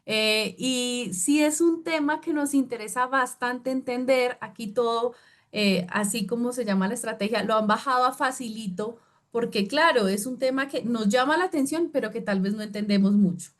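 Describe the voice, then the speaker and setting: A woman's voice: fairly high pitch, normal speed. A woman, moderating a webinar and introducing things in an informal, chatty way.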